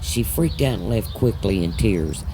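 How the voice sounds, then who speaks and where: Man playing a low-voiced, preachy mother, noisy audio. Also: lively intonation, measured pace. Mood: grave. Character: devout, firm, wounded mother of a son. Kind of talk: hobby audio drama dialogue.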